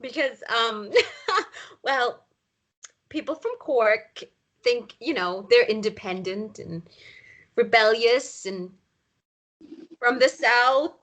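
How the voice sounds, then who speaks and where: A woman's voice: on the high side, slow pace, long pauses, a bit bright. A woman playing a caring, wry mother of young boys in a read-through of a romance drama. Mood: exasperated, amused. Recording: clear background noise, occasional clicks.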